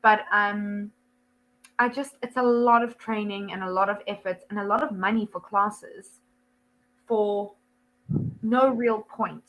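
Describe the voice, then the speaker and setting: A woman's voice: mid-range voice, steady tone, unhurried, long pauses, a bit bright, rough recording. A young South African woman with a South African accent, an online English tutor chatting casually over a video call, laughing often and full of ums and likes. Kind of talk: casual one-to-one lesson chat.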